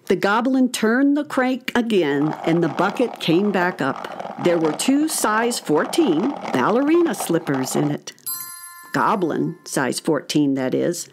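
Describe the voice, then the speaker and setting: A woman's voice: on the low side, very animated, takes it slowly, background noise. A woman narrating a scripted story for children.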